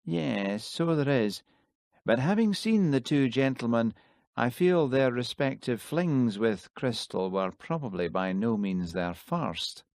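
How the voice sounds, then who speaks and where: A man's voice: mid-range voice, expressive, slow pace, a little mellow, no room sound, clean studio sound, voice pushed up. A man reading an audiobook, voicing a pompous senior police detective who talks in a stiff, officious way. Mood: brusque. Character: pompous male detective superintendent.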